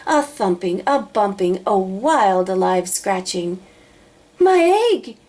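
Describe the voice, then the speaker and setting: A woman narrating a children's storybook aloud, expressively, with dramatic drawn-out emphasis. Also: mid-range voice, darkish tone. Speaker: storybook reader.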